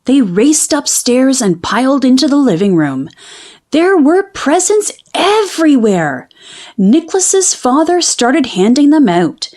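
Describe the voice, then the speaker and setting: A woman reading a children's picture book aloud in an expressive, narrated storytelling style. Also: a little high.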